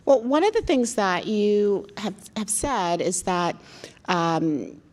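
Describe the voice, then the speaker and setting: A middle-aged woman hosting a live interview, asking measured questions with many "uh" fillers. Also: medium pitch, animated, a little mellow. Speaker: interviewer, fashion critic.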